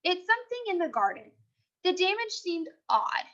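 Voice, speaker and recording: A woman's voice: extremely high pitch, big pitch swings, normal speed, fairly bright tone, voice pushed up, exaggerated voice. A woman voicing an earnest, precise schoolboy detective in a storybook, in a serious, matter-of-fact way. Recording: dull, lo-fi sound.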